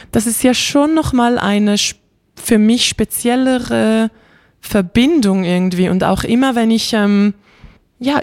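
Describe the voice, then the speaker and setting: A woman's voice: medium pitch, a little mellow, no room sound, studio quality. A young woman, a classical singer raised bilingual in Bosnian, chatting animatedly in an interview with many fillers and light laughs. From Switzerland. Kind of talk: casual interview chat.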